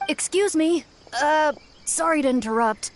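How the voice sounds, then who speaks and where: A woman's voice: high-pitched, a little slow, slight background noise. A woman voicing an eager, earnest young female forest spirit in a video game, a little nervous in how she talks. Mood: worried. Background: game soundtrack music.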